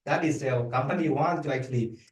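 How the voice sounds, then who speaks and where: A man with a Vietnamese accent is presenting at an academic event. His delivery is halting, with restarts and repeated words. He sounds set back from the microphone in a reverberant room. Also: medium pitch. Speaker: academic researcher, guest speaker. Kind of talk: conference talk and audience Q&A. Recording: echoey room, distant microphone.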